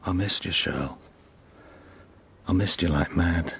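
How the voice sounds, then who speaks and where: A man's voice: sits low, takes it slowly, gravelly, a bit bright, a little hiss or hum, exaggerated voice. A man acting in a radio drama as a bewildered, jealous middle-aged English husband, blunt and plaintive, repeating himself. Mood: pleading.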